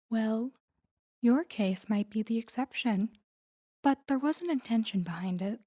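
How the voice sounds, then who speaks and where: A woman performs a female crime boss confiding in a lover in an intimate audio drama. The voice is warm and relaxed with a dark, mellow tone, often sinking close to a whisper. The delivery is measured and earnest, guarded but tender. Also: mid-range voice, natural voice. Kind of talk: intimate scripted audio roleplay addressed to the listener.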